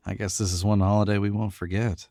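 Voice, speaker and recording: A man's voice: fairly low pitch, level tone, normal speed, background noise, close to an everyday voice. A young man playing an arrogant, controlled murderer in a 1940s-style radio drama, with clipped, smug commands. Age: young adult.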